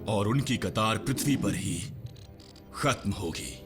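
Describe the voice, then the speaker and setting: A man voicing a knowledgeable veteran robot in a cartoon dub, gruff and matter-of-fact. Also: on the low side, expressive, slow pace, over music. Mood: grave. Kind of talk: dubbed cartoon acting.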